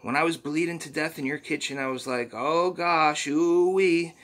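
A man putting on a cheerful, folksy little poop creature in a top hat, chirping catchphrases in a raised, bright voice. He stays earnest and a bit silly, like a cartoon sidekick from a comic read aloud. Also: on the high side, steady tone, moderate pace, voice pushed up, lightly acted. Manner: chirpy, folksy, says ooh-wee.

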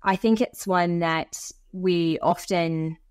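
An American woman in her thirties, a guest talking over a video call from a home office. She tells stories in a chatty, restarting way. Her voice sits a touch low and the sound is dry and close. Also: steady tone, slow pace, clean tone, no echo. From United States. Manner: chatty storytelling, frequent restarts. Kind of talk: conversational interview.

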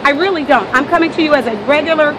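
A woman's voice: high-pitched, racing, crowd noise. An American woman, a local resident, arguing and negotiating insistently on the street, often repeating herself and interrupting. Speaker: local resident confronting a protester. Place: outdoors on a city street beside traffic. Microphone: handheld phone camera. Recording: noisy outdoor street, phone recording.

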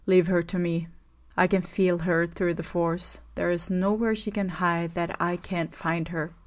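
A cold young male villain issues orders in a calm, flat, menacing tone, as a woman reader puts him on. His threats come out even and detached, as if nothing matters, in a storybook reading. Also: on the low side, moderate pace, close to an everyday voice.

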